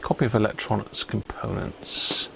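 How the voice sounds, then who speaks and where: A man's voice: fairly low pitch, fairly even intonation, moderate pace, creaky, noisy background. A man hosting a casual hobby livestream, chatting matily and muttering to himself as he works at an electronics bench. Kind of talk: casual live chat while working at a bench.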